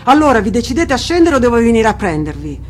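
A woman's voice: a little high, sing-song, fast, voice pushed up. Woman acting menacing, wrathful Bluebeard over music. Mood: thunderous. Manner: commanding, thunderous, pitiless. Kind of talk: dramatic fairy-tale reading. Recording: music under the voice.

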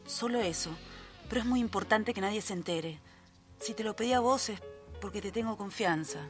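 A woman's voice: mid-range voice, fairly quick, soft background music, lightly acted. An actress playing a discreet, determined woman who coaxes for secrets in a radio drama, with an Argentine accent. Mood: urgent coaxing. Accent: Argentine, Rioplatense. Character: discreet, determined, coaxing amateur sleuth woman.

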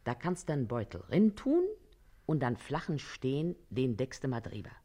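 Bossy, lively Berlin mother. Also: very deep voice, moderate pace, heavily acted. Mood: conspiratorial, bossy. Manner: brusque, commanding. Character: bossy, shrewd working-class washerwoman and mother. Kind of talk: radio play acting.

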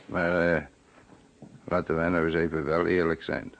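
A man's voice: low-pitched, little pitch movement, a little slow. A man playing a deferential but dryly frank police assistant in a Dutch radio play. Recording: muffled, noisy old radio recording.

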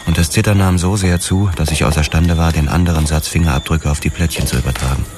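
Very deep-voiced grim detective narrator. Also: male voice, steady tone. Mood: shaken, brooding. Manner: measured, clipped, grim storytelling. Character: haunted, hard-boiled male police detective recounting his investigation. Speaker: narrator and lead character in a radio drama. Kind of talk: scripted first-person noir crime narration, radio drama.

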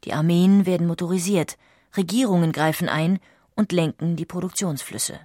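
A woman reading scripted documentary narration with measured, precise enunciation. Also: lowish voice, fairly even intonation, mellow, no room sound, studio quality.

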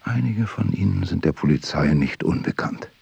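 An actor playing a secretive observer in a radio drama, giving grim reports in a husky half-whisper with slow, clipped phrasing. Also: male voice, deep voice, expressive, mellow, no room sound, dull audio, exaggerated voice. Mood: hushed, watchful.

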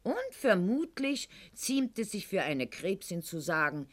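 An actress playing a proud, sharp-witted aristocratic lady in a radio play monologue, speaking with haughty irony and elaborate eloquence. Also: on the low side, sing-song, a little slow. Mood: biting.

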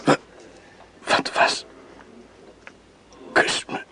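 A man's voice: drawn-out words, sharp tone, exaggerated voice. A man playing a badly wounded, lovesick army captain in a radio play, gasping in pain. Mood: agonized, yearning. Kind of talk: radio drama acting.

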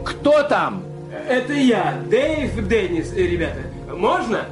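A pushy, nosy publicist in a radio play. His voice sits fairly high, chattering and teasing like an old pal, with music clearly behind it. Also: sing-song, slow, full character voice. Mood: jaunty. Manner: teasing, chattering, hesitant filler sounds. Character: chummy, nosy, pushy male studio publicist.

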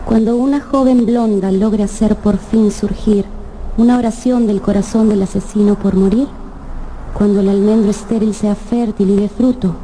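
An actress playing an innocent, pious young maiden, frightened yet resolute, earnest and hushed in a radio drama. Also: female voice, medium pitch, steady tone, moderate pace, a little hiss or hum, natural voice, low-quality recording. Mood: earnest reciting.

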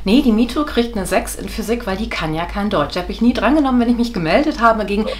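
A woman's voice: mid-range voice, lively intonation, quick pace. A woman telling a personal story about a teacher, earnest and recounting a grievance. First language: German. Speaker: interviewee in a played clip. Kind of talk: personal anecdote.

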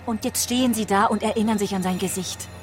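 A woman's voice: mid-range voice, fairly even intonation, quick pace, loud music behind the voice, natural voice. A woman playing a shrewd, firm police investigator in a German radio crime drama, direct and needling. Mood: pressing, needling.

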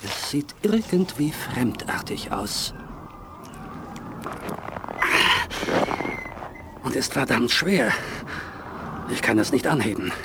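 A man's voice: mid-range voice, very animated, unhurried, vocal fry, background noise. An actor playing an anxious, easily startled man on a dangerous expedition in a 1980s German radio play. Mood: wary, puzzled.

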